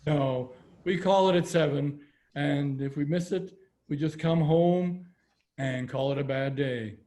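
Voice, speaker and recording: A man's voice: fairly high pitch, a little slow, mellow, close to an everyday voice. A man reading the part of a cheerfully stubborn elderly father in his nineties, in a folksy, patient way over a video call. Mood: amused.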